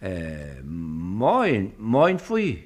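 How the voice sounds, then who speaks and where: Slow, plodding village office servant. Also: male voice, lowish voice, huge pitch swings, darkish tone, heavily acted. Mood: sheepish. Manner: slow, hesitant, deferential. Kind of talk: naturalistic German radio play acting.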